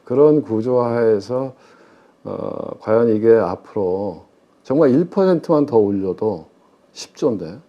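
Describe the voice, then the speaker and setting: A man's voice: lowish voice, dark tone. A middle-aged man talking as an expert guest in a conversational interview, emphatic and often answering his own questions, with slightly muffled sound. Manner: emphatic, posing questions and answering them himself. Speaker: guest expert, former pension fund chief investment officer. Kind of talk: conversational interview commentary on public finance.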